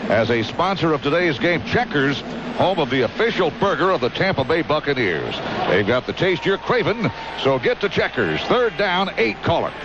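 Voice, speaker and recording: A man's voice: fairly high pitch, very animated, moderate pace. American male football play-by-play caller, emphatic and clipped, dull broadcast sound with heavy noise behind. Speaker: radio play-by-play announcer. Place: outdoor stadium broadcast booth. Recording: dull radio broadcast, loud stadium noise. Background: loud stadium ambience.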